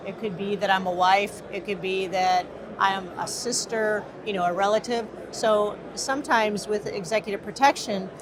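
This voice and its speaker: A woman's voice: mid-range voice, noisy background. A woman from the Midwest, co-owner of a protection business, answering interview questions in an earnest way with frequent fillers.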